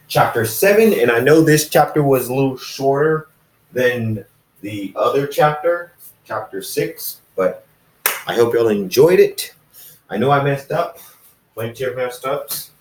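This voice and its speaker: A man reading amateur fan fiction and chatting to viewers in a halting, self-deprecating, rambling way. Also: medium pitch. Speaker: fan fiction reader, video host. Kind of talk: amateur story reading and casual vlog chat. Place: dark room, in front of camera. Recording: roomy echo, distant mic.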